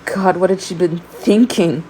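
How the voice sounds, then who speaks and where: A woman narrates fan fiction in a slightly low voice. Her amateur storytelling is expressive, and the recording carries some noise behind her. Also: unhurried, low-quality recording.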